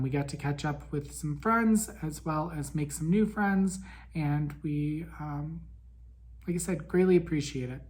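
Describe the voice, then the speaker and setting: A man hosting a casual monthly podcast recap, raspy and weak in breath from a head cold, chatty with frequent ums. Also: a little high. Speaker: podcast host.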